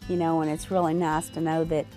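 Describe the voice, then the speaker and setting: An older woman from Arkansas talks about her family store in an interview. Her voice sits fairly low. She reflects slowly and halts often, with many fillers. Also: flat delivery, warm tone, faint music behind.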